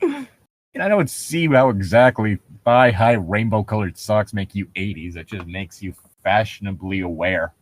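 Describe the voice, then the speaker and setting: A man's voice: on the low side, expressive, a little slow, a little hiss or hum. A man doing a mocking dramatic reading with sarcastic, profane ad-lib commentary and a giggly manner. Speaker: co-reader riffing on a horror story.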